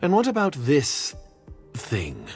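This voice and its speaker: A man's voice: a little high, wildly swooping pitch, slow, exaggerated voice. A man voicing a stern, suspicious foreign agent in a video game, formal and skeptical in manner. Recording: music behind the voice.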